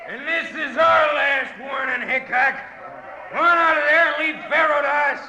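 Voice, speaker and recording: An American actor playing a menacing lynch mob leader who calls out hard in an old-time radio western. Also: male voice, very high voice, measured pace, gravelly, full character voice. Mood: threatening. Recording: echoing, very noisy old radio, crowd.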